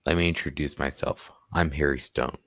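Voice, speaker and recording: A man's voice: a bass voice, fairly even intonation, moderate pace, a bit bright. A teenage boy acting a composed, polite senior police detective in a student radio play. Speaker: student actor.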